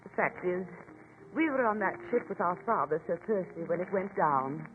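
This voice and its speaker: An actress playing a guarded, genteel elderly spinster in a 1940s radio drama, speaking formally and with measure. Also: female voice, on the low side, lively intonation, normal speed, close to an everyday voice. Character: guarded elderly spinster, protective older-seeming sister. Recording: tinny old radio broadcast, music behind.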